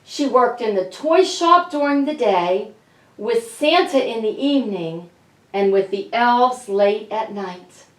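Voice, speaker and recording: In a roomy, echoing space, slightly away from the microphone, a woman reads to children. She takes her time, speaking deliberately as a storybook author addressing young listeners. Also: on the high side, expressive. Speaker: children's author reading aloud. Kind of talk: storybook read-aloud for children. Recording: echoey room, slightly distant mic.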